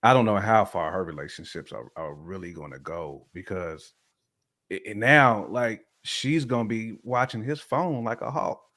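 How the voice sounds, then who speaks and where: A man's voice: fairly low pitch, moderate pace, fairly bright tone. A man giving his opinions in casual, slangy conversation as a guest on a talk show. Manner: colloquial, slangy phrasing.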